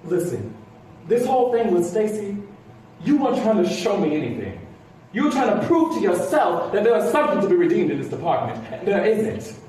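Casting: man playing aggrieved young male ex-officer, high voice with wide swings, distant on a theatre stage. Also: very animated, normal speed, darkish tone. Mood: grim, urgent. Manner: insistent, aggrieved. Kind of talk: live stage play acting. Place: theatre stage with rows of seats. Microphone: distant camera. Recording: echoey theatre stage from far off, noisy.

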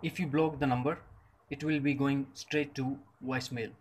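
A man's voice: medium pitch, steady tone, moderate pace. A man reading a scripted step-by-step tech tutorial in short, separated instructional phrases. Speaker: tutorial presenter.

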